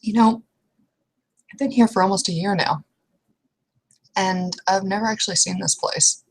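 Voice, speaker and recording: A woman playing a quiet, distracted, naively curious woman in improvised roleplay, speaking hesitantly and questioningly. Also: mid-range voice, normal speed, natural voice. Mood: casual, curious. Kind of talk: improvised in-character roleplay dialogue.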